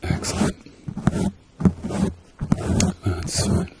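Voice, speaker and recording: A middle-aged man doing a softly spoken ASMR role-play as a doctor, murmuring reassurance to a patient. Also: drawn-out words, heavy background noise. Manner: reassuring, murmured repeated encouragement.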